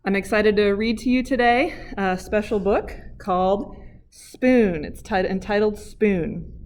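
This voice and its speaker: American woman reading a children's storybook. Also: mid-range voice. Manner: expressive, deliberate storybook delivery. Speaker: PE teacher and coach reading aloud. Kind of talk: children's picture book read-aloud.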